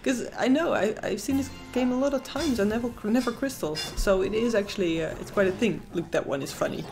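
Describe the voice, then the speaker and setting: Young dutch woman chatting on a slots stream, reads chat out loud, soft game music behind. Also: medium pitch, brisk. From Netherlands. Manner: chatty, reads chat aloud, squeals at wins. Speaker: casino stream co-host. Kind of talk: live stream chat and commentary.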